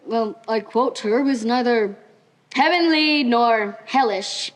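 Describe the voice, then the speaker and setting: A woman's voice: a little high, takes it slowly. A teenage female student acting on stage as a stern, proper Victorian male doctor. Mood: dry, skeptical. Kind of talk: high school stage play acting.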